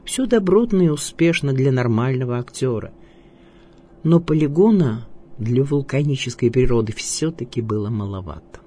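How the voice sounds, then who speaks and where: A man reading a scripted biographical narration in a measured, literary and declamatory way. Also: medium pitch, lively intonation, mellow, husky. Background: music.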